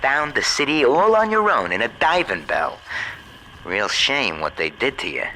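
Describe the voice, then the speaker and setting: A man's voice: mid-range voice, sing-song, normal speed, sharp tone. An actor playing a slick, shifty, smug radio newsman in a video game, with glib, theatrical buddy-buddy patter. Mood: glib, taunting. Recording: noisy transmission with background ambience.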